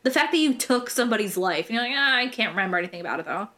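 A woman's voice: medium pitch, lively intonation, very fast, sharp tone. A young American woman hosting a true crime podcast, telling the story casually in slangy, irreverent language and swearing freely.

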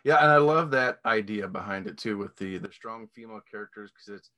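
A man hosts a casual podcast chat in a bright, forward voice. His delivery is easygoing and good-humored, with an unhurried, conversational rhythm. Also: lowish voice. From Missouri. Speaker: podcast host.

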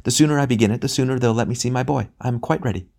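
A man voicing the bitter ghost of a mother, snappish and demanding, raising his voice higher for her. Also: racing, creaky, darkish tone, lightly acted. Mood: impatient, bitter.

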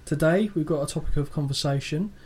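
A man's voice: a little high, fast, a little mellow, husky. Teasing male podcast co-host. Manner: teasing, sarcastic banter. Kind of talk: casual chat.

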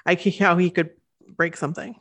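A woman in her thirties co-hosting a podcast, chatting eagerly with quick asides, sniffly from the cold. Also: lowish voice, fairly even intonation, a bit bright. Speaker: podcast co-host. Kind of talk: casual chat.

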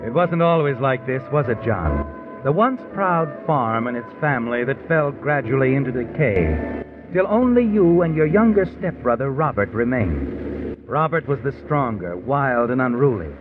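A man's voice: mid-range voice, big pitch swings, normal speed, phone-quality sound, lightly acted. Man acting an ominous, taunting old-time radio narrator. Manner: menacing, taunting, addresses the character directly. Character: ominous all-knowing male narrator who walks by night. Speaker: mystery show narrator. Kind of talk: 1950s radio mystery narration. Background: dramatic music.

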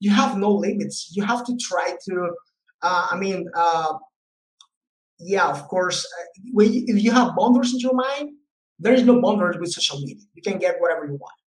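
A man's voice: high-pitched, expressive, moderate pace. A man from Colombia with a Colombian Spanish accent, a guest English teacher talking casually with fillers and restarts. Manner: loose, repetitive, many fillers and restarts. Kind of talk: casual interview talk. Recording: roomy echo.

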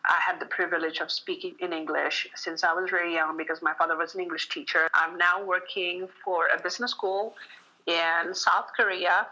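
Woman, fluent composed answers, bright voice, lower pitch. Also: poor audio. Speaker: international relations officer. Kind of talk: interview answer.

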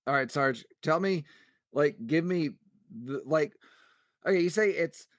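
A man's voice: on the high side, measured pace, no echo. A man co-hosting a podcast in casual banter, wisecracking with dry sarcasm. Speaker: podcast co-host.

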